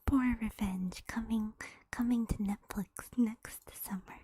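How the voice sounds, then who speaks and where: A woman streamer telling a story in a soft unvoiced whisper, playful and teasing, with giggly asides to her chat. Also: a little high, steady tone, unhurried, breathy edge, dry recording.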